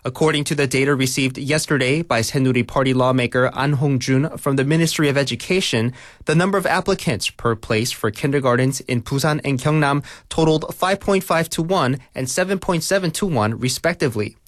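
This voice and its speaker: A man reading a scripted local news bulletin in a measured, formal way. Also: medium pitch, no echo, studio quality. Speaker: news reader.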